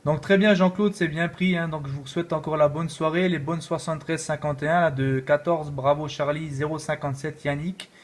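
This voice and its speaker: A man's voice: fairly high pitch, steady tone, fairly quick, few pauses. Casual rambling man on radio duty. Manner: rambling, repeats filler tags. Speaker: amateur radio operator. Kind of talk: casual radio contact chat.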